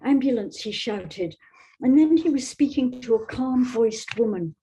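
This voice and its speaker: A woman's voice: fairly high pitch, animated, measured pace, warm tone, slight background noise, low-quality recording. A woman, speaking as a guest novelist in thoughtful literary talk and reading. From Scotland.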